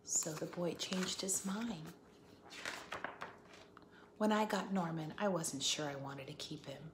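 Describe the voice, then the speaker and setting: A woman teacher reading a picture book aloud for children, expressively, pausing to put questions to her listeners. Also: lowish voice. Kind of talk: animated read-aloud for children with asides and questions. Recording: echoey room, faint background noise.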